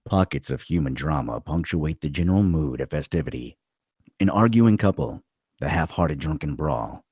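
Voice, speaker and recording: A man narrating a screenplay at a table read, with a gravelly, husky voice. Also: extremely low pitch, lively intonation, moderate pace, a little mellow, no echo, studio quality.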